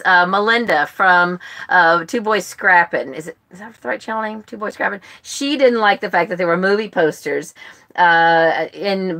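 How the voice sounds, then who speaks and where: A woman's voice: lowish voice, bright, forceful tone. A woman chatting casually to livestream viewers as a book club host, rambling and often interrupting herself. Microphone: phone.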